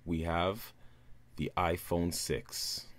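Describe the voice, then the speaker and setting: A man, casually talking viewers through a phone unboxing in a relaxed, upbeat way. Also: low voice, drawn-out words. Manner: relaxed, upbeat presenter addressing viewers as guys. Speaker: tech reviewer.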